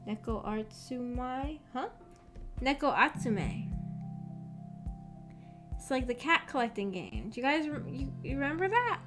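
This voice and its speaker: Young woman, high voice, casual livestream chat, hesitant and rambling, clear background music. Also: expressive, measured pace, long pauses. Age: young adult. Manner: hesitant, rambling, self-effacing. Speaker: streamer chatting with live chat.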